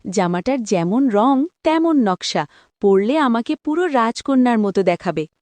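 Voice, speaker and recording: A woman's voice: a little high, expressive, measured pace, a little mellow, dry recording. A woman playing an eager, hopeful young daughter of a poor family in a cartoon, earnest and pleading. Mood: dreamy, insistent. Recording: background music under the voice.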